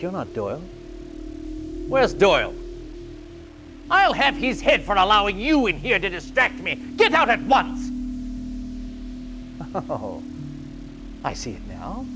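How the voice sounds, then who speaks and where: A gloating villainous doctor in a game cutscene, pompous and theatrical. His high voice swoops about as he draws out each sneer. Music plays clearly behind him. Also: full character voice. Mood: indignant. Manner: pompous, theatrical, drawn-out taunting. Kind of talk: video game cutscene acting.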